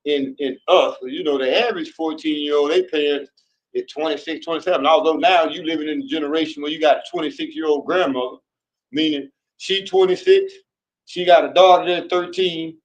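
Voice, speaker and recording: A man's voice: on the high side, steady tone, fairly quick, low-quality recording. A middle-aged man hosting a casual talk with his son, chatty and teasing, often stumbling and repeating words. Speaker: host.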